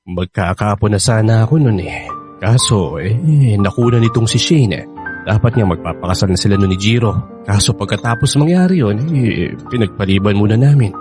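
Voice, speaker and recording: A man's voice: fairly low pitch, moderate pace, over music, lightly acted. An actor playing an affectionate, reassuring middle-aged husband and father with a Filipino accent in a radio drama. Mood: matter-of-fact. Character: doting wealthy 55-year-old widowed husband and father.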